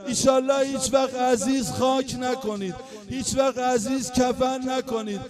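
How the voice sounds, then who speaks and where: A man's voice: very high voice, little pitch movement, music in the background. A male religious eulogist delivering a chanted mourning sermon, emotional and wailing, sliding into half-sung lament.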